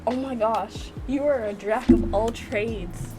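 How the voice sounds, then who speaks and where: A woman's voice: a little high, slow. A woman hosting a gaming channel, casually reacting and narrating with playful, chatty asides. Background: music.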